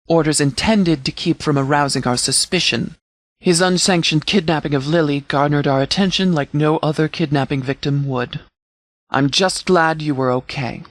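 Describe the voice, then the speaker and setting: A man playing a stern sheriff in a video game, giving formal, measured briefings with authority. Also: a little high, fairly bright tone, no room sound, natural voice. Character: stern, formal sheriff commanding a post-apocalyptic settlement militia. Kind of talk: scripted video game dialogue, military briefing.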